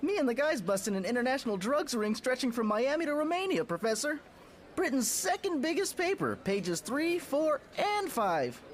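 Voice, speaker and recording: A woman's voice: sits high, normal speed, slight background noise. A woman voicing a mouthy, exasperated, slangy teenage boy hero in a motion comic. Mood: boastful. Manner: slangy, whiny, animated. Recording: loud music behind.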